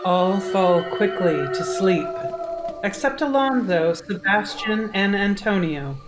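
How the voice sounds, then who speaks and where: A woman's voice: mid-range voice, animated, slow. A woman giving scripted narration for an audio drama, with music playing behind.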